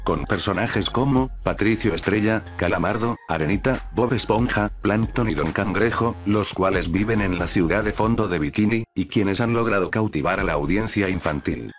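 Male narrator, low register, scripted countdown read with light music underneath. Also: moderate pace, hardly any pauses. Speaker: narrator of a cartoon top-list video.

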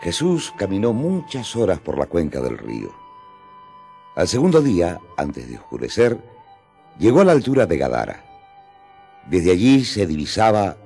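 A man's voice: low-pitched, creaky, warm tone. A man narrating a radio drama in a solemn, theatrical storytelling manner. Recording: music under the narration.

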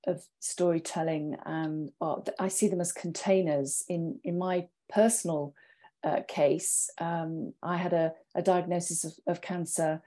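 A woman in her fifties speaking as a panelist in a deliberate, reflective way, pausing between phrases. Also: on the low side, fairly even intonation, warm tone. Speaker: therapist, panelist. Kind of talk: measured reflective talk. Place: home office, indoors. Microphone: wired earphones, video call.

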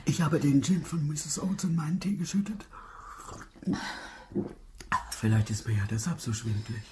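Sinister nurse, wildly swinging intonation. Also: female voice, sits low, lively intonation, moderate pace, noisy background. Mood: hushed, ominous. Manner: dramatic, ominous, commanding. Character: sinister, domineering, scornful nurse. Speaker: voice actress in an audio drama. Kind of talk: German radio drama acting.

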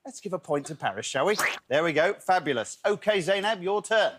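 A man's voice: high-pitched, lively intonation, a little fast, slight background noise. A man in his fifties hosting a children's game show in a TV studio, upbeat and jokey. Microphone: lapel mic.

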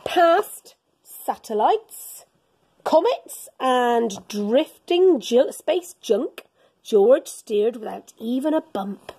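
Middle-aged woman, children's storyteller, mellow tone with big swoops in pitch. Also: extremely slow, breathy edge. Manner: animated, dramatic storytelling with gasps and whispers. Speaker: teacher reading to young children. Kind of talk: expressive picture-book read-aloud for children. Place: school classroom, indoors.

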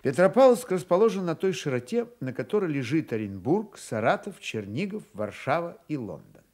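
Casting: male storyteller for radio theatre, expressive, higher-than-average voice with lively melodic swings. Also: moderate pace. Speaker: narrator. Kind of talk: dramatized literary narration for radio.

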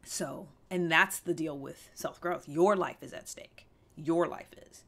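A woman's voice: sits low, a little slow. An American woman in her thirties talking casually and candidly to camera as a vlog host, emphatic, with rhetorical questions and repeated phrases. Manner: emphatic, animated delivery with rhetorical questions and repetition. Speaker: vlog host giving self-growth advice. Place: small indoor room with fabric backdrop.

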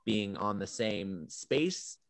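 A man's voice: fairly low pitch, expressive, slow pace, no room sound. A young man from Ohio chatting casually as an actor on an online panel. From Ohio, United States. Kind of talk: casual video-call chat. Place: indoor video call. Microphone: video call computer microphone. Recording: clearly noisy video call audio.

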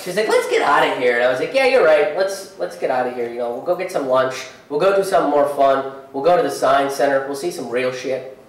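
A man in his thirties performing a stand-up comedy set on a theater stage, telling a story and acting out other people's lines with big jumps in pitch. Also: lively intonation, quick pace, a little hiss or hum. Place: theater stage in front of a curtain, live audience. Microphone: handheld mic. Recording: roomy hall audio from a distance.

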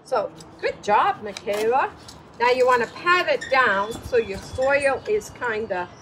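Slow-paced, high-voiced older woman teaching children. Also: lively intonation, sharp tone. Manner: encouraging, praising, instructing children. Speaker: children's storytime host. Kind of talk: hands-on gardening demonstration for young children. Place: outdoors at a table in the wind. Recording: breezy outdoor noise, birds.

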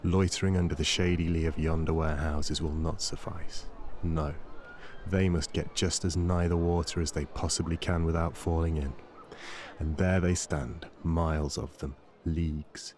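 A man with a husky voice reads a literary novel as an audiobook narrator, in a measured, dramatic storytelling manner. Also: very deep voice, little pitch movement, darkish tone, slight background noise. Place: dry studio voice-over recording.

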